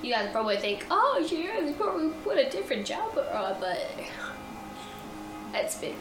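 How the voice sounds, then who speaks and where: A woman's voice: high-pitched, sing-song, moderate pace, reverberant, over music. A teenage girl, sick with a blocked nose and a strained voice, chatting rambly and gushing to her camera in her bedroom. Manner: rambling, gushing, self-correcting. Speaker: vlogger. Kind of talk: casual vlog chat. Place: bedroom, indoors. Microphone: camera in front of her.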